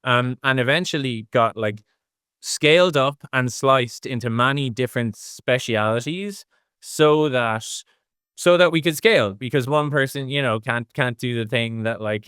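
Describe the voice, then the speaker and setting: Middle-aged male interview guest, clear resonant voice, casual and discursive, closely recorded with no room sound. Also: mid-range voice, normal speed, a bit bright, dry recording, studio quality. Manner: discursive, thinks aloud in long hedged explanations. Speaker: interview guest, design executive.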